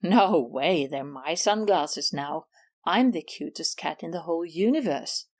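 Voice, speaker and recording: Smug show-off she-cat for a storybook read, voiced by a woman in a lower, self-satisfied drawl, slow and teasing. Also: animated, a little mellow, heavily acted. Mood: smug taunting. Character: smug vain female cat, show-off thief.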